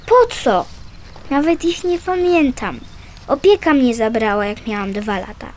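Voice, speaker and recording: A woman's voice: a little high, very animated, measured pace, darkish tone, a little hiss or hum. A young female performer playing a stubborn, vulnerable orphaned little girl in a radio drama. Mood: guarded, sad. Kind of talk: Polish radio drama acting.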